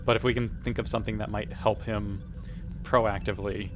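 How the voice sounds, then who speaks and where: A man's voice: fairly low pitch, little pitch movement, brisk, noisy background. A man talking casually as a player working through moves in a tabletop role-playing game.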